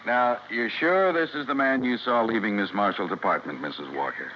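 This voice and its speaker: A man's voice: fairly low pitch, expressive, normal speed, natural voice. A man with an American accent playing a stern, stubborn police detective in an old radio drama, questioning tersely and firmly. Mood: businesslike. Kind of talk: golden-age American radio drama acting. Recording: muffled old radio recording, faint hiss.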